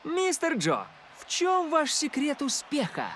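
A man voicing an excitable young TV sports reporter in a cartoon, eager and showy. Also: very high-pitched, measured pace, a little hiss or hum, heavily acted. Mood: eager, excited. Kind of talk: animated cartoon dub.

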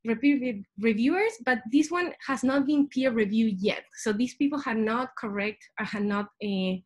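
A woman's voice: fairly high pitch, moderate pace, a little mellow. A young woman from Chile with a Chilean Spanish accent, giving an informal science presentation over a video call as a PhD student. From Santiago, Chile. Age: young adult. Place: small indoor room at home. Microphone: webcam video call.

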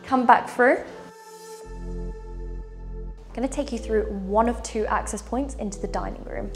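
A woman's voice: fairly high pitch, a little slow, over music. Upbeat woman touring a house. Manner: enthusiastic, walking and gesturing while talking. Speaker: property tour presenter. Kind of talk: upbeat house tour narration. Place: large furnished house indoors.

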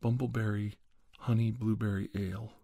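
Man in his forties, low husky voice, halting, conspiratorial, comedic roleplay to camera. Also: flat delivery, mellow, no room sound. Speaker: video host.

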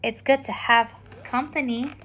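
Woman voicing huge gentle whale, lonely, kindly storybook sea creature, female reader's register. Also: a little high, fairly even intonation, a little slow. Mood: gentle, wistful.

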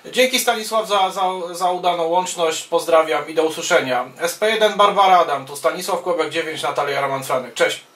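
A man with a fairly high voice works as an amateur radio operator, giving procedural calls and casual commentary. His phrasing can be hesitant. He sounds set back from the microphone in an echoey little room. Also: fairly quick, few pauses, a bit bright, slight background noise. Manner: procedural, spells callsigns in phonetic words, hesitant between phrases. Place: small wood-panelled radio room indoors. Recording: roomy, distant microphone sound.

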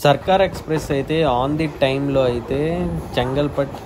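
Fast-talking man filming on a train. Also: steady tone. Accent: Telugu. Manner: chatty, explains as he goes. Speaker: train travel vlogger. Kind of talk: casual travel vlog commentary. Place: inside a moving train. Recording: train rumble in background.

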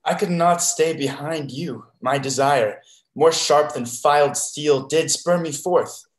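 Young guy as loyal wary sailor in shakespeare, earnest, halting, echoey video call. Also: on the high side. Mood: devoted. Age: young adult. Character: devoted, wary male sea fighter in a stage comedy.

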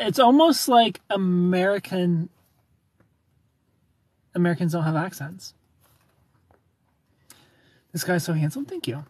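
A man's voice: sits high, no room sound. A man in his thirties, sitting in a car and chatting playfully on a live stream while he reads viewers' comments and laughs often into a phone held close. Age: 30s. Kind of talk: casual live-stream chat. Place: parked car at night, neon lights.

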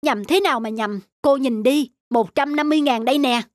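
A woman's voice: high voice, brisk, no echo, clean studio sound, close to an everyday voice. A woman voicing a stubborn, money-worried young male motorbike taxi driver, curt and insistent, in a cartoon drama. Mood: irritated, insistent.

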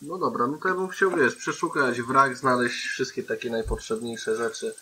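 A man's voice: mid-range voice, normal speed, sharp tone, a little hiss or hum. A man playing a tabletop game, informally explaining what he intends to do step by step. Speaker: tabletop roleplay player. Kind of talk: casual in-game talk.

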